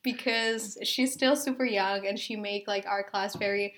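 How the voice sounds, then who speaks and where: A woman's voice: mid-range voice, fairly even intonation, unhurried, a bit bright. A young woman from Indonesia, a university student guest, talking casually with many fillers like "um" and "like". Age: young adult. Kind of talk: casual chat.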